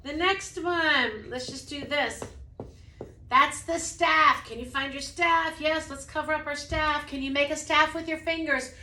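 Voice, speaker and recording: A woman's voice: fairly even intonation, measured pace, pushed and bright, roomy sound, slight background noise. A woman who teaches music to preschoolers, talking through a lesson casually and breaking into short sung examples. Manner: demonstrates by singing snippets, then speaks casually; says she has a low voice.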